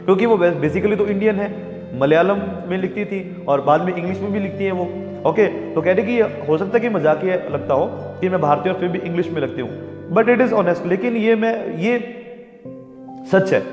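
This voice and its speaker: A man with an Indian accent teaching a class, reading English verse lines slowly and explaining each one with frequent "okay". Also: high voice. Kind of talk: explaining a poem line by line to students. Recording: echoey room with long reverb and background music.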